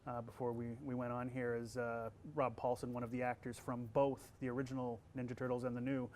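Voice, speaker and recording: A man with a youthful-sounding voice talks through interview questions in an easygoing, chatty way, often pausing on fillers and starting his sentences over. His pitch sits in an ordinary middle range, and a faint ambient hiss hangs behind him. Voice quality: young-sounding voice. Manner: relaxed, many fillers and restarts. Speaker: voice actor and college instructor. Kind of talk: casual interview answers.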